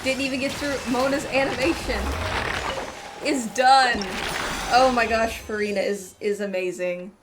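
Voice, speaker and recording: High-voiced woman streaming a game. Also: fairly even intonation, a little slow, background noise. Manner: chatty, talks to herself and viewers while playing. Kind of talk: casual live gameplay commentary. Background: game music.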